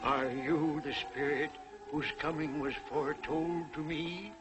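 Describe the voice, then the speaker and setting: An actor playing a fearful, remorseful old miser in theatrical, pleading 1940s television drama style. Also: male voice, fairly high pitch, steady tone, a little slow, close to an everyday voice. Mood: apprehensive. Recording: old 1940s television soundtrack, loud music behind.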